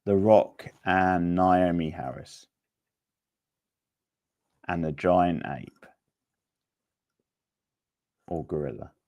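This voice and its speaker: A man's voice: low-pitched, drawn-out words, pauses often, darkish tone. A man casually hosting a quiz, chatting with participants and making dry, wry remarks. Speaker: quiz host.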